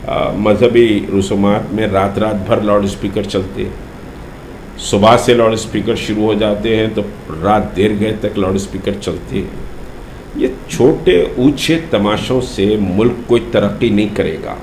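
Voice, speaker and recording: A man gives an unscripted political statement to the press, speaking deliberately and haltingly with frequent pauses. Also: on the low side, darkish tone. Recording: roomy echo, faint background noise.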